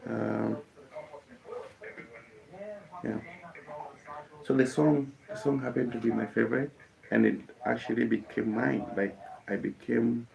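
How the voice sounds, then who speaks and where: Gravelly, slow-talking Kenyan man. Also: mid-range voice, fairly even intonation, muffled recording, crowd noise. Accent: Kenyan English. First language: Kikuyu. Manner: halting, many fillers and restarts. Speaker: musician. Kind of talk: casual storytelling about his life.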